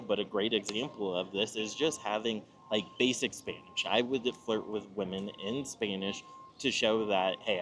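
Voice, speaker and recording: Low-voiced American man, explanatory vlog talk. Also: fairly bright tone, a little hiss or hum. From Nebraska, United States. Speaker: video host giving travel advice. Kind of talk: informal monologue to camera.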